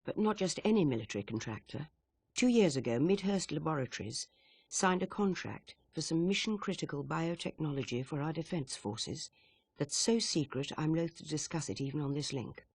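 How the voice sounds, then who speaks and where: Husky, formal spy chief. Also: male voice, fairly high pitch, expressive, no echo, clean studio sound, exaggerated voice. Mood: grave, businesslike. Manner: formal, measured, authoritative. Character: senior British intelligence chief briefing his agent, formal, grave. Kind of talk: scripted video game cutscene acting.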